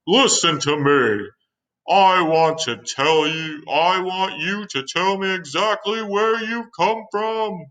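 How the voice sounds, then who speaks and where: A man voicing a panicky male police chief who yells in a high, frantic voice. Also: very animated, moderate pace, heavily acted, poor audio. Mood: blustering. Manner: frantic, high-pitched yelling.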